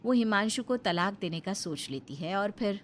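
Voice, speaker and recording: A woman's voice: medium pitch, animated, normal speed, a little hiss or hum. A woman narrating a scripted Hindi family moral story as a storyteller.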